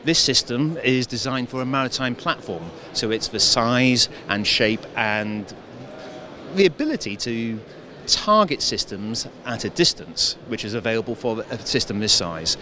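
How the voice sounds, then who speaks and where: A defense engineer explaining equipment in an interview, measured with some hesitations. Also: male voice, medium pitch, animated, bright, forceful tone.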